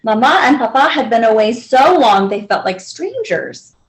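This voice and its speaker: A woman's voice: fairly high pitch, very animated, normal speed. A woman reading a children's picture book aloud as a library storyteller.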